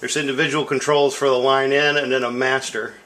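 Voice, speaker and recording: A man's voice: mid-range voice, flat delivery, brisk, bright, forceful tone. Casual middle-aged man, rambling demo. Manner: rambling, self-deprecating. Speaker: tape deck seller demonstrating equipment. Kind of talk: casual, unscripted product demonstration. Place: indoor room at home. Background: music from record and tape playback.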